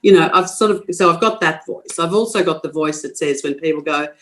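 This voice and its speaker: A woman's voice: low voice, a little fast. Older aussie woman on a podcast, blunt and frank, laughs a lot, lots of restarts. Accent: Australian. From Queensland, Australia. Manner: frank, forthright, laughs easily, many restarts. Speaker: guest. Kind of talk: podcast interview.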